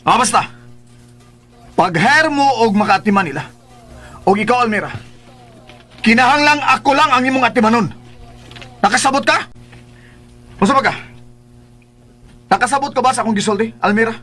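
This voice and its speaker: A man's voice: very high voice, normal speed, long pauses, pushed and bright, faint music behind, exaggerated voice. A man playing a possessive, irritable young son of a rich household in a radio drama, stern and demanding, with a Bisaya accent. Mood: irritated, demanding. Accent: Filipino, Bisaya.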